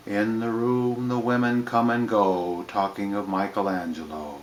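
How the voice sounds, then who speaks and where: Man, plain poetry reading, slightly deep, slow measured phrasing, echoing room. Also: fairly bright tone, a little hiss or hum. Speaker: reader of poetry.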